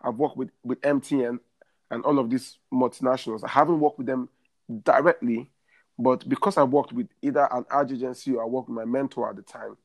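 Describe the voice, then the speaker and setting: A man's voice: mid-range voice, steady tone, brisk, creaky. Earnest man in his thirties giving advice. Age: 30s. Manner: earnest, repeats phrases while building a point. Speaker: guest. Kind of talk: career advice interview.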